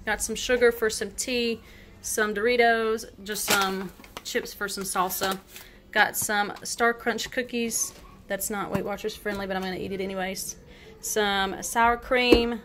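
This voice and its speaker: Brief: casual thirties mom-vlogger, kitchen phone audio with clear background noise. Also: female voice, mid-range voice. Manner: chatty, many ums and likes, listing items. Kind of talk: casual grocery haul narration. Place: home kitchen, indoors.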